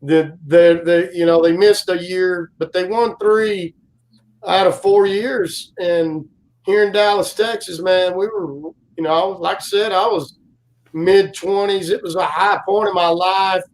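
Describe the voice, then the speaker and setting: Guy in his 40s, loud voice that carries, high pitch, rambles slow with lots of uh and you know. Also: steady tone, a little hiss or hum, low-quality recording. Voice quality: loud, carrying voice. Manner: rambling storyteller, many fillers and restarts. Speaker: guest co-host. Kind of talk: casual live-stream chat.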